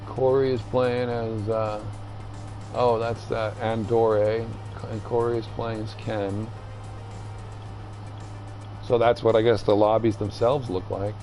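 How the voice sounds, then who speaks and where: A man streaming a video game with casual, rambling commentary, haltingly thinking aloud as he reads the menus. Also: on the low side, flat delivery, dark tone, faint music behind.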